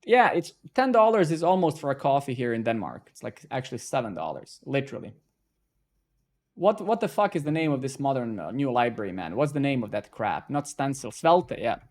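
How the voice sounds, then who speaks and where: Swearing, exasperated live-coding streamer. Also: male voice, mid-range voice, big pitch swings, a little fast. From Serbia. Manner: frustrated, swears freely, many filler "right?" and "man". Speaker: stream host.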